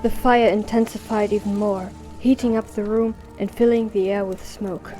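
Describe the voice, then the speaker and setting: A woman's voice: mid-range voice, fairly even intonation, moderate pace, dark tone, faint music behind. A woman reading scripted story narration for an audio drama.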